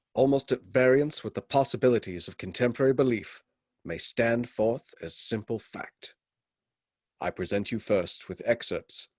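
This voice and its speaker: An actor playing a solemn, formal gentleman witness addressing listeners in a radio play. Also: on the low side, dry recording, clean studio sound, close to an everyday voice. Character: grave, formal gentleman witness presenting documents.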